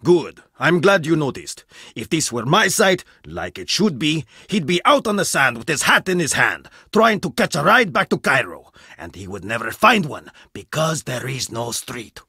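A blunt, husky-voiced archaeologist. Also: male voice, a little high, sing-song, normal speed, pushed and bright, no echo, studio quality, heavily acted. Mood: stern. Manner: blunt, haughty, dramatic swings in delivery. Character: stern, proud male archaeologist, blunt and distrustful. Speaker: game character voice. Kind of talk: video game voice lines.

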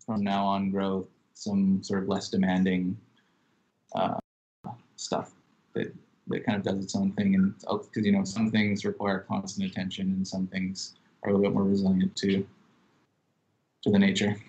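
Young man, fairly low voice, calm and measured, casual interview, laptop webcam. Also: lo-fi sound. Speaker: chef and restaurant co-owner. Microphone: laptop webcam on video call.

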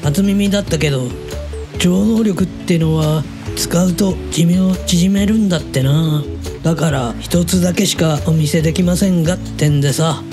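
Guy playing a chatty easygoing middle aged uncle, kinda high voice, blunt and casual, audio drama with music under it. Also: moderate pace, close to an everyday voice. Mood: chatty, amused. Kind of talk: Japanese audio drama dialogue.

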